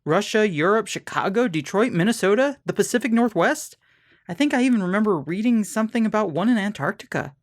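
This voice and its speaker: A woman's voice: mid-range voice, lively intonation, brisk, natural voice. An actress playing a wary, matter-of-fact woman in an audio drama. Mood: wary, serious.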